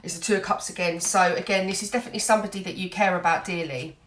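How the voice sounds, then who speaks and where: A woman's voice: lowish voice, fairly even intonation, rapid-fire, a bit bright, echoey room. A woman giving an earnest, reassuring tarot reading while full of cold, dotting her talk with "you know". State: has a cold, a bit snotty. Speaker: tarot reader.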